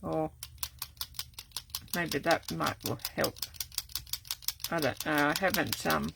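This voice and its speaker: An older woman, chatting casually through a live card-making demo, rambling with many ums and restarts, her voice going croaky. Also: low-pitched, slow, noisy background. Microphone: camera set up at desk.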